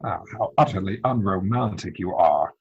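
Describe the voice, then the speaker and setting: Man playing flustered gentleman suitor, dull lo-fi audio. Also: deep voice, moderate pace, creaky, husky, heavily acted. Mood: lovestruck, miffed. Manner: formal, mannered, indignant delivery. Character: earnest, easily flustered upper-class young gentleman suitor. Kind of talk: dramatic reading of a period stage comedy. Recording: dull lo-fi video-call audio.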